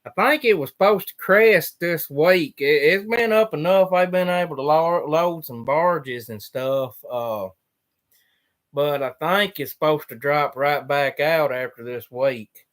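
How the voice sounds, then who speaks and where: Plainspoken farmer, drawling. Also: male voice, fairly high pitch, measured pace, fairly bright tone. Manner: drawling, plainspoken, trails off mid-sentence. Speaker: farmer hosting a livestream. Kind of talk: casual chat reading viewer questions.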